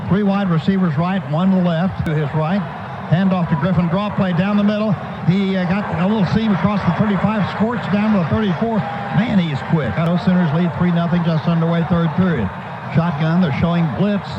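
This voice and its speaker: High-pitched man calling football on noisy radio. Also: steady tone, a little fast. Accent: American. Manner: clipped, present-tense running call of each play. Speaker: radio play-by-play announcer. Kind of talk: live football play-by-play commentary. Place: stadium broadcast booth. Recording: muffled, noisy old radio broadcast.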